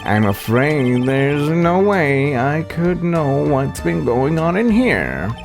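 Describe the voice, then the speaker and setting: A man's voice: fairly high pitch, sing-song, slow, creaky, faint music behind, exaggerated voice. A man voicing a pompous, smug detective who boasts of being a master thief in a visual novel, grandiose and condescending. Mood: feigned innocence.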